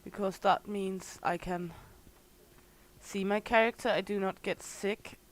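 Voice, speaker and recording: A woman with a slightly hoarse voice and a Danish mother tongue, casually commenting as she plays a game on a livestream and greeting her viewers by name. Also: mid-range voice, fairly even intonation, rough recording. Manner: unhurried, chatty, greets viewers warmly by name. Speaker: gaming streamer.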